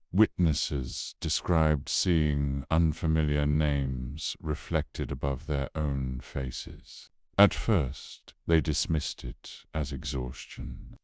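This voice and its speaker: A man with an extremely deep voice narrates a horror case file in documentary style. His delivery is slow and solemn, with deliberate dramatic pauses. The sound is close and dry. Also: darkish tone, no echo.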